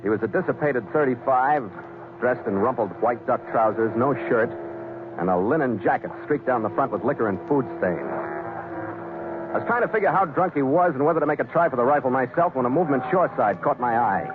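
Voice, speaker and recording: A man's voice: medium pitch, expressive, a little fast, background noise, lightly acted. A man acting as a ship's skipper who narrates his log in a 1940s radio adventure. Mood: wry, measured. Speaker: lead actor and narrator. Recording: tinny 1940s radio recording with music.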